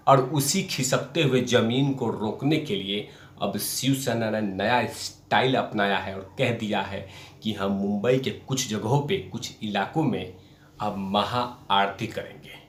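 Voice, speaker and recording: Low-voiced man, echoey room. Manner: emphatic, repeats phrases for effect. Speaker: host of a political commentary show. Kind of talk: news commentary to camera. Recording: roomy, echoing sound.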